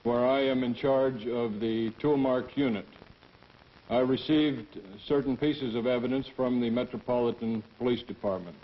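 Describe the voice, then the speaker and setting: Formal forensic examiner, muffled recording. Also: male voice, medium pitch. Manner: formal, precise. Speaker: forensic tool mark examiner. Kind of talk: scripted testimony narration. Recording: muffled 1960s film soundtrack.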